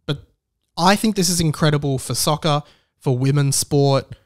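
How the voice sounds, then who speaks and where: A man's voice: on the high side, moderate pace. A man with an Australian accent hosting a podcast solo, talking casually in an enthusiastic, rambling way. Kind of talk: casual chatty monologue.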